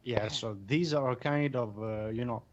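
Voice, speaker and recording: A man's voice: mid-range voice, measured pace, highs cut off. A man from Greece, a guest artist on a podcast, speaking modestly and hesitantly over a video call. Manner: modest, hesitant, lots of fillers. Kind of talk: casual interview.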